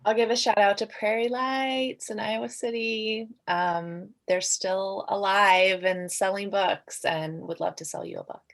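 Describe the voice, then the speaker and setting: A woman's voice: medium pitch, steady tone, a little slow, few pauses. A woman, a debut author, talking casually on a panel and often saying "like".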